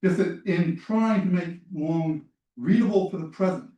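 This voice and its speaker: A man's voice: high voice, unhurried, dark tone. A man in a seminar giving a thoughtful academic comment, with some hesitant fillers. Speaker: seminar participant. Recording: echoey room, far from mic.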